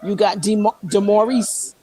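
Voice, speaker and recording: A woman's voice: medium pitch, steady tone, normal speed, warm tone, poor audio. A woman hosting a podcast in casual chat, speaking emphatically and often repeating and restarting her phrases. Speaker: podcast host.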